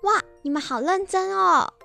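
A woman's voice: extremely high pitch, moderate pace, gravelly, sharp tone, soft background music, full character voice. A young female performer playing a sweet, curious granddaughter in a children's radio drama. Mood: curious, shy.

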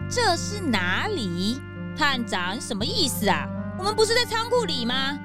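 A woman voicing an excitable, easily frightened young sidekick who complains and exclaims in a children's audio detective drama. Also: sits high, a little slow, music in the background. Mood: freezing, complaining.

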